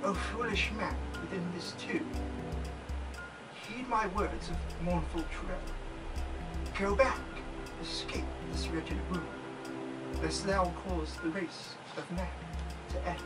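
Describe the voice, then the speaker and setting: A man's voice: high-pitched, slow pace, long pauses, far from the mic, low-quality recording. Man acting solemn scholarly doctor, music behind. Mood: solemn, foreboding. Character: earnest male scientist doctor, solemn scholar of ancient inscriptions. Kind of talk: audio drama acting.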